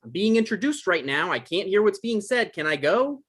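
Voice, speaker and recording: A man acting an anxious, defensive novelist in a table read, speaking in a halting, earnest way. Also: sits high, sing-song, fairly bright tone, husky, full character voice. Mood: flustered, impatient. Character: anxious, defensive male novelist caught in romantic trouble.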